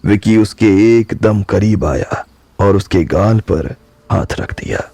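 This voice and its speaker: A man narrating a drama audiobook in steady storytelling. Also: on the low side, takes it slowly, dry recording.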